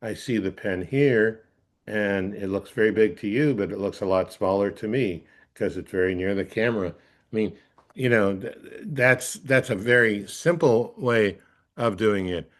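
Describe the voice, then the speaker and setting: A man with a fairly low voice talks as an interviewed coach. He explains ideas conversationally and thoughtfully, often halting and repeating a phrase as he works toward the point.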